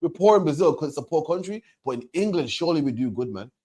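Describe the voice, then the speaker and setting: A man preaching in an emphatic, repetitive way, hammering each point home. His voice sits a little higher than most men's. He ends his phrases with "man". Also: animated, fast talker, mellow, slightly rough. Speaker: religious teacher. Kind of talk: street preaching to a questioner.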